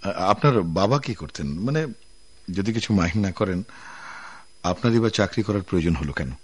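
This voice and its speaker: An actor playing a considerate, polite office superior in a radio drama, husky and tentative in manner. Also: male voice, deep voice, animated, normal speed. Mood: considerate, hesitant. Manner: courteous, tentative, soft-hearted.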